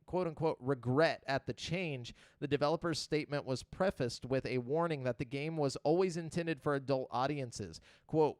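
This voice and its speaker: Smooth-voiced man hosting a casual podcast. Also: fairly high pitch, no room sound. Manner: casual, joking, sweary. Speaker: podcast host. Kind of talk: casual podcast chat, reading gaming news.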